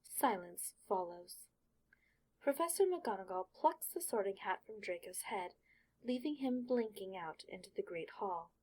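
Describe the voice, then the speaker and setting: A woman narrating a fan fiction audiobook in an expressive storytelling manner. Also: medium pitch, measured pace, low-quality recording.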